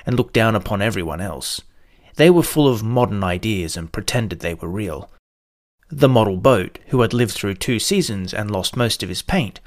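A man reading a children's story as narrator, in a measured, expressive storytelling manner. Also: fairly low pitch. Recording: soft guitar music behind the voice.

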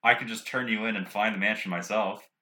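A man acts out a gnome bounty hunter with a very low, bright, forceful voice. He is stern and businesslike, keeping his words short and formal in a tabletop fantasy game. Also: steady tone, quick pace. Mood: curt. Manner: curt, formal, no-nonsense.

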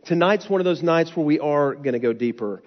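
A man's voice: on the high side, animated, a little fast. A young male pastor preaching with a stuffy, nasal voice from a lingering cold. He talks to the congregation in an earnest, casual way. The sound is a little muffled, with a long reverb trailing through the hall. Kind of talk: sermon. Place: church hall.

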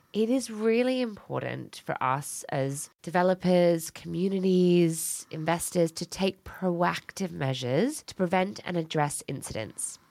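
Lively low-voiced woman podcast host. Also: vocal fry, no echo. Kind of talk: scripted intro and interview questions.

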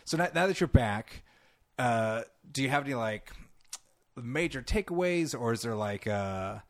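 A man's voice: medium pitch, animated, unhurried, dry recording, clean studio sound. A man from California with a light Californian accent, a podcast host asking questions in a rambling, casual way. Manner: rambling, many fillers and restarts. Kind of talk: casual conversation.

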